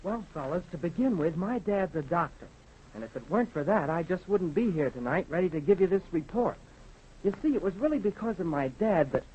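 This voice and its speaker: A man's voice: high-pitched, expressive, a little fast, darkish tone, a little hiss or hum. An American male actor playing an earnest, polite boy telling his adventure in a 1930s radio drama. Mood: chatty, earnest. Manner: polite, eager. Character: earnest, eager boy storyteller Jimmy. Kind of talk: 1930s radio acting, narrating. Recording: dull, muffled 1930s radio recording.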